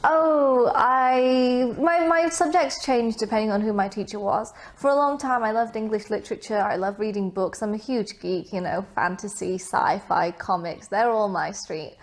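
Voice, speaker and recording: A woman's voice: medium pitch, moderate pace, hardly any pauses. A young woman from Vietnam, raised abroad, answering interview questions in a chatty, casual way with many fillers and asides.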